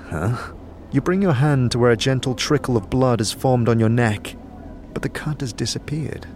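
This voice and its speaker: A man's voice: mid-range voice, vocal fry. A man narrating a fantasy audio drama in dramatic, scripted second person, as a storyteller. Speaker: narrator, game master. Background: music bed.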